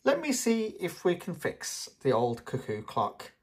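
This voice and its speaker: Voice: medium pitch, huge pitch swings, measured pace, breathy edge, voice pushed up, heavily acted. An adult reader voicing a patient, easygoing father pig in a children's storybook. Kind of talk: children's storybook read-aloud.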